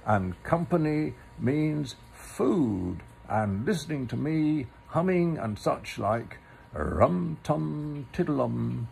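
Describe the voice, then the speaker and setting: A man voicing a friendly, slow-witted storybook bear who speaks ponderously and politely and hums to himself. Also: a little high, sing-song, creaky, a little mellow, a little hiss or hum, pitched above the performer's own voice, exaggerated voice. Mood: musing, content. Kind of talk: storybook character voice.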